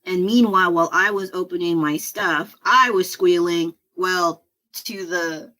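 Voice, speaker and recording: A woman with a low voice, chatting casually at her home desk while drawing on a livestream, rambling and poking fun at herself with frequent laughs. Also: fairly even intonation, unhurried, sharp tone. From United States. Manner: rambling, self-deprecating, laughs often. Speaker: livestreaming artist.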